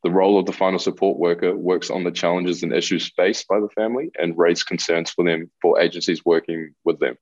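A man's voice: low voice, fairly even intonation, brisk, hardly any pauses, darkish tone, lo-fi sound. A young man in his twenties with a New Zealand accent, a guest on a remote interview, earnestly explaining his work in long listed points. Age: 20s. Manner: earnest, listing points, reads prepared remarks. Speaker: guest, youth mentor. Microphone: video call.

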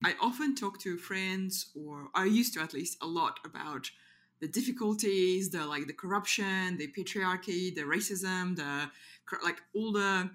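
A woman's voice: fairly low pitch, measured pace, a bit bright. A woman from Kazakhstan hosting a podcast in a casual, conversational interview style. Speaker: podcast host. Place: at home.

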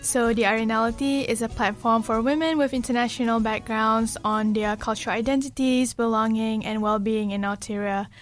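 A woman's voice: on the high side, steady tone, fairly quick, hardly any pauses. Woman host, community radio interview, light faint music underneath. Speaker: podcast host.

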